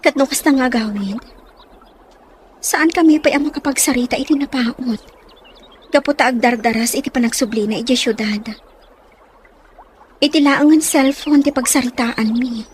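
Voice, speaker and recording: A woman playing a gentle, wistful young provincial woman in an Ilocano radio drama, with a Filipino Ilocano accent. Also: high-pitched, moderate pace, pauses often, a little hiss or hum, lightly acted. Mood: wistful, worried. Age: young adult.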